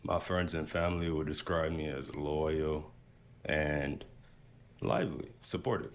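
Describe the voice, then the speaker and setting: A man's voice: very deep voice, a little slow. A man in his thirties answering questions as a dating show contestant. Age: 30s. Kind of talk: introducing himself and answering questions.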